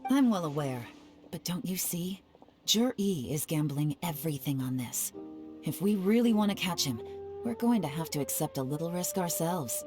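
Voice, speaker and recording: Casting: low-voiced commanding spymaster, calm, with game music behind. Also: female voice. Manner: calm, measured, gives orders. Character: cool-headed female spymaster, commanding.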